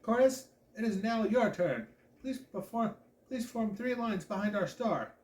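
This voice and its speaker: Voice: medium pitch, expressive, measured pace, darkish tone, voice pushed up, natural voice. A man voicing a stern, gushy female dance instructor with a French accent in a storybook. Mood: brisk, commanding. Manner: effusive when praising, barking when displeased. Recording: echoey room.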